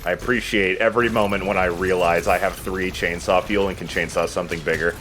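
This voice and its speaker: A man's voice: low-pitched, steady tone, brisk, fairly bright tone, a little hiss or hum. A man giving casual commentary as he plays a video game and hosts for friends. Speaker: let's play host and player.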